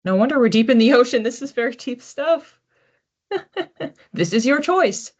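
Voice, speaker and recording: A woman hosting an informal psychic card reading in a conversational, reflective way, with animated asides. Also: a little high, moderate pace, lo-fi sound. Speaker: psychic card reader, host.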